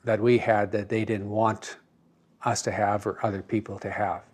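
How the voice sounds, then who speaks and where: A man's voice: fairly low pitch, level tone, moderate pace, a little mellow. A man speaking in a documentary interview.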